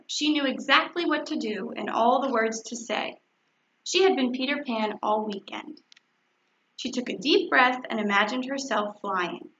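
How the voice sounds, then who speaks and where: Woman, high bright voice, storybook read-aloud, dull recording. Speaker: fourth grade teacher. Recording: echoey, dull, faintly noisy recording.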